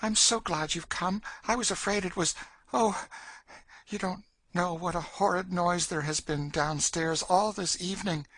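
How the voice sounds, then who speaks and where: A man's voice: high voice, measured pace, a bit bright, dry recording, voice pushed up. A man voicing a frightened, naive young enslaved woman in an audiobook, anxious and imploring. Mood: anxious, pleading. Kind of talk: audiobook character acting.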